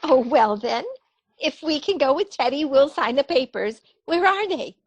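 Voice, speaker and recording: Woman playing a high-voiced, stubborn old auntie. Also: expressive, normal speed, lo-fi sound, slight background noise. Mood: eager. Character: sweet, stubborn elderly spinster aunt. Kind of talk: stage comedy acting for radio theatre.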